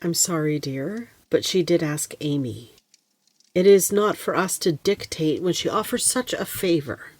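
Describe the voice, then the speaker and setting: An actress playing a gentle mother of grown daughters in a period audio play, speaking and narrating in a tender, measured way. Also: low voice. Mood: gently firm. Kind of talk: period audio drama acting and storytelling narration. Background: music, guitar.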